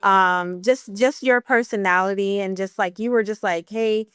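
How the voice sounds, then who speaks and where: A woman in her thirties with a mid-pitched, smooth voice and a light stutter. She talks in an encouraging, filler-heavy way, recorded dry and close to the mic. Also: fairly even intonation, moderate pace, no room sound. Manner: warm encouraging, self-described stutterer, many fillers. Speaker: esthetician. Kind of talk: casual podcast conversation.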